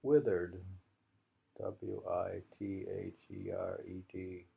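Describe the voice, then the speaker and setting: Male poetry reader, low-pitched and husky, unhurried and musing, with informal asides between verses. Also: completely flat delivery, highs cut off. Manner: halting, musing, thinking aloud. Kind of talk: poetry reading with informal commentary.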